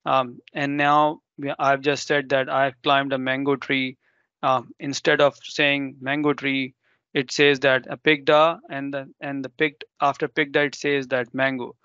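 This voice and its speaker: A man's voice: medium pitch, little pitch movement, measured pace, bright, forceful tone, smooth, dry recording, lo-fi sound. A man whose first language is Urdu, presenting a live technical demo and explaining step by step with ums and self-corrections.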